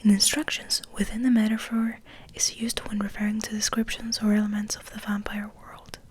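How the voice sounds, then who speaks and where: A woman ASMR host speaking in a gentle, breathy whisper, hushed and unhurried, as if rambling softly to her listeners. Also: medium pitch, monotone, slight background noise, rough recording. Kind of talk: ASMR, soft rambling chat and reading aloud.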